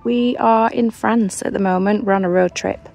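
A young woman who hosts an exploring vlog. She talks in a relaxed, chatty way, with fillers, and also reads narration. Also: medium pitch, moderate pace, creaky, dull audio, faint music behind. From United Kingdom. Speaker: urban exploration vlogger. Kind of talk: casual vlog chat and scripted narration.

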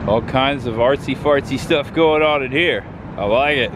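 A man in his thirties narrating a casual walking tour to his camera, chatty and easily excited as he points things out. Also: medium pitch, normal speed. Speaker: vlogger. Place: busy city park and streets outdoors. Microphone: handheld camera at arm's length. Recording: loud crowd and street noise outdoors. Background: crowd chatter and traffic.

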